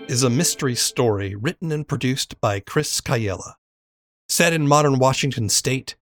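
A man's voice: mid-range voice, dry recording, clean studio sound. A man hosting an interview podcast, moving between scripted narration and questions for his guest.

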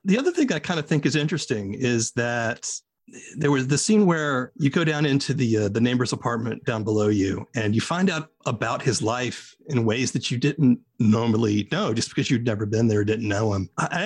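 Husky male podcast interviewer. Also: mid-range voice. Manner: thoughtful, roundabout questions. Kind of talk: conversational interviewing.

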